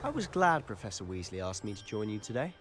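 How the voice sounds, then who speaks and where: A confident schoolboy in a video game. His pitch moves around a lot as he speaks with playful formality and wry courtesy. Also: big pitch swings, fast, fairly bright tone, slight background noise. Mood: wry, friendly. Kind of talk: video game character dialogue.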